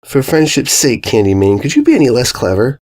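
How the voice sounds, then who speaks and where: A man's voice: mid-range voice, wildly swooping pitch, brisk, darkish tone. A man voicing an exasperated, grumpy female cartoon pony with a snippy, incredulous way of talking. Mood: irritated.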